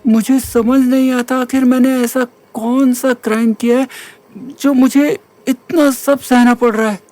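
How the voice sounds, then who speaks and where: Woman acting as hurt young college boy, very high voice, distressed, audio drama, soft music. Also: fairly even intonation, unhurried. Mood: despairing.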